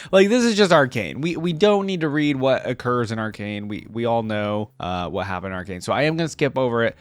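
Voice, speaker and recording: A man's voice: medium pitch, expressive, fairly quick, no echo, faint music behind. A man giving casual, conversational reaction commentary, sometimes reading aloud. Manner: conversational, informal. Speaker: reaction video host.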